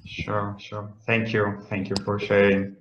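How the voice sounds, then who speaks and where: Man, slightly low voice, meeting host, short interjections, echoey muffled call audio. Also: completely flat delivery, a little slow, slight background noise. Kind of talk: brief interjections moderating a video call.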